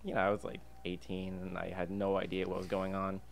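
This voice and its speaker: A man's voice: low voice, monotone, a little fast. A young adult Canadian man chatting casually as a guest in a podcast interview. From Alberta, Canada. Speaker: podcast guest, freelance illustrator and designer. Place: podcast booth inside a diner. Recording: clear background ambient noise.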